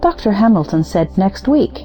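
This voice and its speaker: A woman's voice: medium pitch, very animated, normal speed, mellow, faint music behind, lightly acted, poor audio. A woman playing an anxious, placating wife and hostess in an audio play. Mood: eager.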